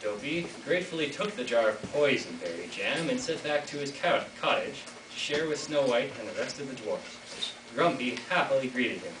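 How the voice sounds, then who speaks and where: Teen male storyteller, average pitch, scripted fairytale narration, distant roomy school recording. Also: lively intonation, moderate pace. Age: teenager. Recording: echoey noisy hall from far off.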